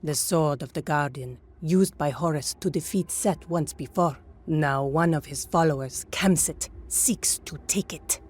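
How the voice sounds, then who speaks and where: Stern commanding warrior lady, kinda low voice, bit of faint hiss. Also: measured pace, few pauses, darkish tone. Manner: firm, commanding. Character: stern warrior woman guide.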